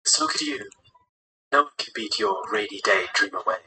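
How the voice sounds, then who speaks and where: A man voicing a quiet, emotionless, dangerous mafioso in an audiobook, laconic and deferential. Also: on the low side, animated, normal speed, slight background noise. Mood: detached. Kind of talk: audiobook character voice.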